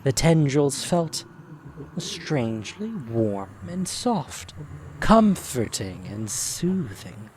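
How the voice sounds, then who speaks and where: A man narrating an audio drama in a deliberate, expressive, dramatic storytelling style. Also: mid-range voice, slight background noise.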